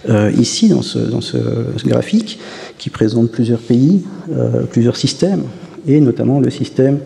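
Man, gravelly dark voice, halting academic lecture, reverberant hall. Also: mid-range voice. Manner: hesitant, many fillers and restarts. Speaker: academic speaker giving a talk. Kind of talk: academic conference presentation.

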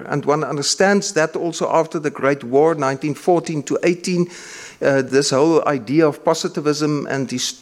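Halting Afrikaans-speaking male lecturer. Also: on the high side. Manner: measured, halting with frequent fillers and restarts. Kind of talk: academic lecture.

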